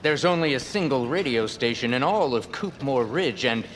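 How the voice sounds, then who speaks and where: An actor playing a courteous, formal, long-winded former radio personality in a scripted audio drama. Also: male voice, mid-range voice, lively intonation, normal speed, slight background noise, close to an everyday voice. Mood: courteous, resigned. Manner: wordy, formal, theatrical. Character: verbose, courteous fired radio personality now toiling as miner.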